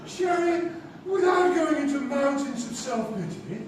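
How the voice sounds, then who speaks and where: A man's voice: very high voice, lively intonation, unhurried. A man playing a flamboyant, self-adoring English playwright in a stage comedy, gushing and grandiose. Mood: gleeful, vain. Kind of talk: theatrical stage comedy acting. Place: theatre stage before a live audience. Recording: echoey theatre recording from far off, faint noise.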